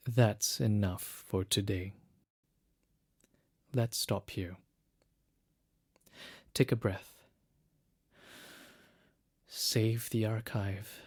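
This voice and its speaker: An actor playing a reflective guide to a lost city in an audio drama, speaking in measured, meditative phrases with pauses. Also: male voice, fairly low pitch, steady tone, full character voice. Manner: measured, pausing, short hushed phrases.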